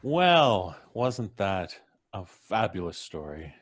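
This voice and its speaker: A man's voice: sits low, very animated, takes it slowly, slight background noise. A man hosting a live show, chatting casually and genially with his audience and colleagues. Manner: genial, appreciative.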